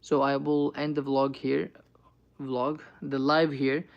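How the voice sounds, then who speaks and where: A man's voice: medium pitch, flat delivery, slow pace, creaky, dull audio. A young man on a live stream, answering chat questions with earnest, reassuring advice and lots of ums and "right?".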